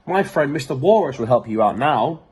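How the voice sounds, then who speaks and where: Man as a high-voiced, kindly female narwhal. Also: animated, fairly quick, husky. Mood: reassuring. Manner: gentle, reassuring. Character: kindly, majestic female narwhal, magical sea unicorn.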